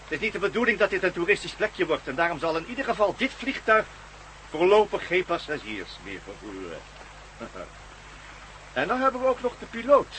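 A man's voice: sits high, very animated, fairly quick, a bit bright, slight background noise, low-quality recording. An actor playing a commanding villain captain in a radio drama, mocking and sardonic, gloating over his plans to rule the world. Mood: mocking politeness. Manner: mocking, sardonic, barks orders.

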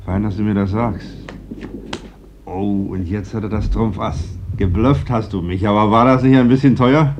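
An actor playing a genial, teasing uncle in a German radio play. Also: male voice, lowish voice, normal speed, lightly acted. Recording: muffled, very noisy old radio recording.

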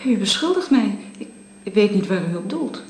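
A woman's voice: mid-range voice, very animated, moderate pace, roomy sound, a little hiss or hum, lightly acted. A woman acting in a radio drama as an earnest, deferential young housekeeper, polite and hesitant in manner. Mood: flustered, defensive.

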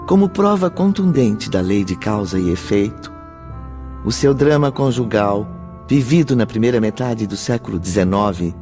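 A male actor as a solemn narrator of a spiritual radio play. The voice is dark and mid-pitched, formal and instructive, over soft music. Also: lightly acted. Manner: formal, measured phrasing. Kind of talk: scripted radio drama narration.

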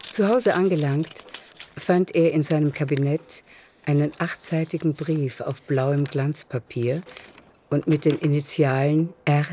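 Mellow low-voiced woman narrator. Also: fairly even intonation, a little slow, slight background noise. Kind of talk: scripted literary narration for radio drama.

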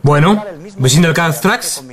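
Lively, curt male conspirator. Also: a little high, a little slow, a crowd in the background. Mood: suspicious, curt. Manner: curt, questioning, switches between Spanish and Dutch. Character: suspicious Spanish-speaking male conspirator, curt and commanding.

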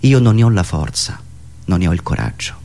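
A maltreated servant, acted by a man prays in a theatrical monologue. He is humble and afraid, his voice hushed and pleading as he begs for justice. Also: sits low, animated, brisk, gravelly, pitched below the performer's own voice. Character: maltreated, frightened servant praying humbly to Christ.

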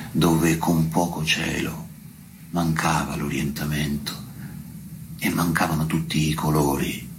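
A man with a very low voice reads poetry gravely and evenly, barely varying his pitch. He takes his time, pausing between phrases, over clearly audible background noise. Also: a bit bright, low-quality recording. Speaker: poetry reader at a tribute recital. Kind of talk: slow poetry recitation.

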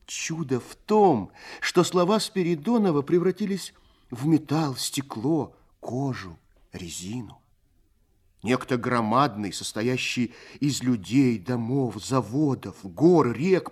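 An actor narrating a Soviet radio play in a lyrical, literary way, with measured, expressive phrasing. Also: male voice, mid-range voice, husky. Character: male storyteller, lyrical and unhurried.

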